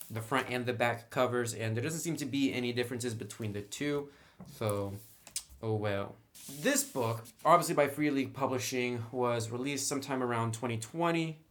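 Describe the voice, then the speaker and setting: A man's voice: medium pitch, fairly bright tone. A man giving an informal, chatty review commentary with wry asides, as a tabletop game book reviewer. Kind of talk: casual scripted-light review commentary.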